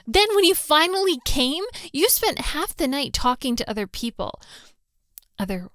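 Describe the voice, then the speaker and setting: A woman's voice: sits high, very animated, normal speed, fairly bright tone, no echo, clean studio sound. A woman playing a hot-tempered, lovestruck young daughter of a mafia boss in a girlfriend roleplay audio drama, exasperated and scolding. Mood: angry, scolding. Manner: scolding, exasperated, with sighs and breathy asides.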